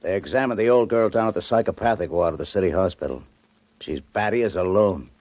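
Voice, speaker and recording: An actor playing a gruff, exasperated police detective in a 1940s radio drama, talking tough and slangy. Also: male voice, sits low, fast, dry recording. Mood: relieved, blunt. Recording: dull 1940s radio recording.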